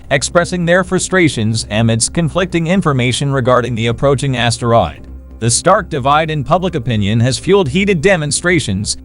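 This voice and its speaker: A man's voice: fairly high pitch, close to an everyday voice. A man playing a serious news reporter, delivering a polished scripted report. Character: male TV news reporter covering a crisis.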